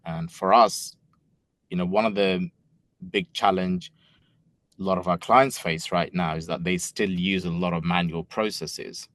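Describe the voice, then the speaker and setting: A man's voice: sits low, fairly even intonation, unhurried, a bit bright, no room sound. A middle-aged man, co-founder of a tech company, answers interview questions in an earnest, explanatory way, often restarting his sentences. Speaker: company co-founder and product head.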